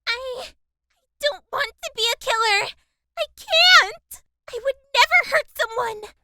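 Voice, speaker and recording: A woman's voice: very high-pitched, lively intonation, slow pace, background noise, heavily acted. A woman voicing a timid, anxious, sweet teenage girl in an anime-style audio drama, with a hesitant, childlike manner. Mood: distressed, frightened. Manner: hesitant, soft-hearted, childlike.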